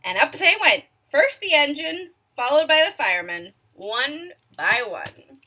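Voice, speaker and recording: A woman reading a picture book aloud to children in an expressive way, stopping to ask her young listeners questions and sometimes dropping to a hush for suspense. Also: sits high, measured pace.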